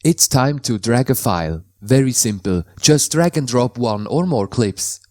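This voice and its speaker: A man from Switzerland, a native Swiss German speaker with a Swiss German accent, calmly explaining how to install and use software in a tutorial voice-over, with slightly non-native phrasing. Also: mid-range voice, a little slow, no room sound, clean studio sound. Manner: careful, plain instructional delivery in slightly non-native English.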